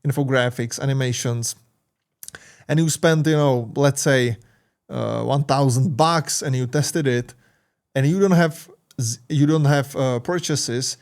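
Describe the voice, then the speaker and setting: A man with a Czech accent walks through a screen-share tutorial at a mid pitch, his English hesitant and full of "uh" fillers and restarts. He sounds tired late in the day, but he keeps explaining. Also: a little mellow. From Czech Republic. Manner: halting, many "uh" fillers and restarts. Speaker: marketing channel host.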